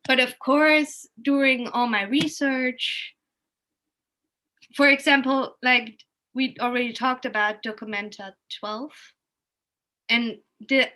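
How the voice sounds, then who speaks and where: A woman's voice: a little high, bright, forceful tone, low-quality recording. A woman speaking as a guest in an unscripted discussion, explaining earnestly in long, linked thoughts.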